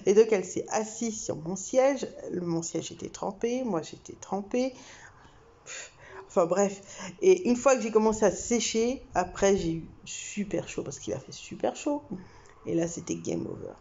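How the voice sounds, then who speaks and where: A woman's voice: medium pitch, moderate pace, lo-fi sound. A young woman from France, telling a story casually to camera with lots of fillers and laughter. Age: young adult. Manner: chatty, many fillers and laughs, animated swings. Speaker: vlogger.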